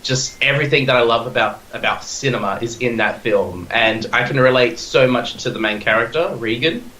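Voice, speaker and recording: A young Australian man in his twenties, chatting eagerly as a podcast guest. His talk rambles, and he restarts his sentences and leans on fillers. His voice sits at a middling pitch and sounds echoey, as if recorded in a bare room. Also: brisk, bright, forceful tone. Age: 20s. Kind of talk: casual chat.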